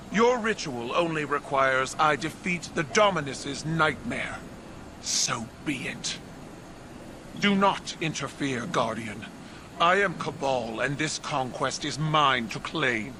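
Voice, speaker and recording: An actress playing a proud alien warrior empress in a video game, commanding, formal and defiant. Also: female voice, rumbling low, lively intonation, takes it slowly, noisy background, full character voice. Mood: stern, impatient. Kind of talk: video game dramatic dialogue.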